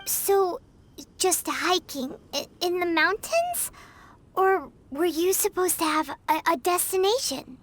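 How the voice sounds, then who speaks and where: A woman voicing a perky, childlike little fairy girl companion in a video game, chatty, blunt and exclamatory with a squeaky tone. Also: very animated, takes it slowly, fairly bright tone, slight background noise, exaggerated voice. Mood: curious, chatty. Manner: exclamatory, refers to self by name.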